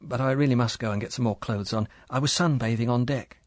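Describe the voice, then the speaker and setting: A man voicing a young, pretty English wife who talks in a soft, chatty, apologetic way. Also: mid-range voice, fast, no room sound, lightly acted. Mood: eager.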